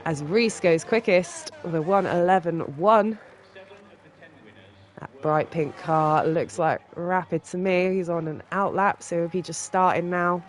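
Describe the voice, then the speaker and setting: Stuffy-nosed excitable race commentator. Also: mid-range voice. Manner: excitable, quick reactions to lap times. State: stuffy from a cold. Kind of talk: live sim racing commentary.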